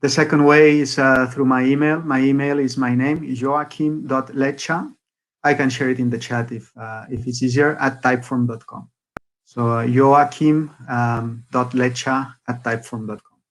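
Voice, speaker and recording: Guy guest on an online call, medium pitch, polite and chatty. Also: steady tone, normal speed. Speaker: guest speaker. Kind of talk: casual video-call conversation.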